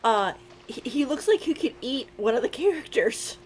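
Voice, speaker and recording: Lively woman streamer with highish voice. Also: moderate pace, low-quality recording. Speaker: game streamer. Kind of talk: casual live game commentary, reading along.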